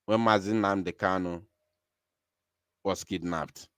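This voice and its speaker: A man's voice: low-pitched, fairly even intonation, slow, a bit bright, clean tone, no echo, studio quality. A man with a Nigerian accent hosting a live political call-in broadcast, speaking emphatically and repeating phrases for stress.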